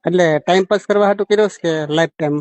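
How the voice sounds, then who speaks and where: A man's voice: sits high, steady tone, very fast, darkish tone, no echo. A man chatting casually on a phone call, colloquial and full of questions. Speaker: phone caller. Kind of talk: casual private phone chat. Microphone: phone call recording.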